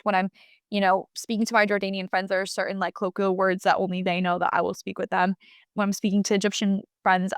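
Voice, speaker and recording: A woman's voice: mid-range voice, no echo. Woman in her twenties, dry close sound. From United States. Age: 20s. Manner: thoughtful, many fillers and restarts. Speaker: guest. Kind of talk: reflective interview answers.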